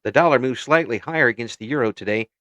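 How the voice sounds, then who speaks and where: A man's voice: medium pitch, fairly bright tone, no room sound, lightly acted. An actor as a formal radio news anchorman, close and dry. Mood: formal, measured. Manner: formal, measured announcer delivery. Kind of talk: scripted radio newscast.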